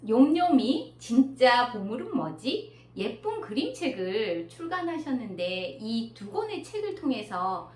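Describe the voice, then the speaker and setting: A woman's voice: fairly high pitch, big pitch swings, measured pace, hardly any pauses, a little mellow. A woman interviewer who asks her guest questions politely and with admiration, and laughs easily. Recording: echoey room with faint noise.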